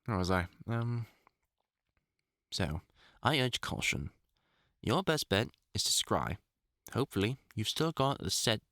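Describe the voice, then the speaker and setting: A man plays a seasoned vampire hunter in an intimate audio drama, middle-aged, wry and protective. The delivery is lively and varied, with a bright, forceful edge. It is recorded very dry and close, with informal muttered asides. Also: on the low side, no room sound, heavily acted. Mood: dry, irritable muttering. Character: gruff, caring, experienced male vampire hunter, wry and teasing. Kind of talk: intimate audio roleplay acting.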